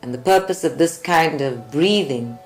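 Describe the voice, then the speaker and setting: A woman leads a guided group meditation with deliberate, measured phrasing and long pauses. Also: low voice, expressive. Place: group meditation at a creativity centre. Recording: live recording with music behind. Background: faint music.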